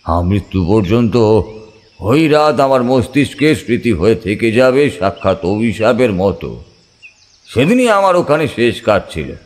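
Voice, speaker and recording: A man's voice: on the low side, big pitch swings, measured pace. A man narrating a first-person horror audiobook with suspenseful, theatrical phrasing over faint music. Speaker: story narrator.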